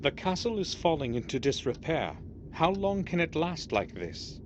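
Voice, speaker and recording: A man's voice: fairly high pitch, sing-song, moderate pace. An actor playing an earnest, suspicious man with questions about his family castle, in a video game. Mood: insistent, suspicious. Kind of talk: scripted video game voice acting. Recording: muffled, very noisy game audio.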